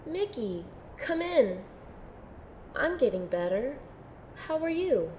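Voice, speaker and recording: Woman as young rookie girl, earnest n tentative, kinda high voice, radio drama, bit of hiss. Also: big pitch swings, slow pace, a little mellow, full character voice. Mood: friendly. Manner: tentative, sincere, hesitant. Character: earnest, unsure young female recruit, gentle and wistful. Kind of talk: audio drama acting and first-person narration.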